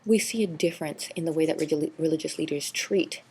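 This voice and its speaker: A woman's voice: deep voice, brisk, creaky, a little mellow, slight background noise. A woman teacher narrating a slideshow review lecture, explaining steadily with frequent ums and uhs. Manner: explanatory, filler-heavy with frequent um and uh. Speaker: history teacher.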